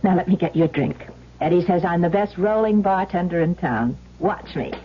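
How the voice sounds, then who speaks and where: An American actress playing a gracious, plucky housewife in a 1970s radio drama, with a husky voice and politely brave manner. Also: female voice, on the low side, normal speed. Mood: gracious, eager. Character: gracious, plucky housewife in a wheelchair, eager for company. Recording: muffled old radio broadcast recording.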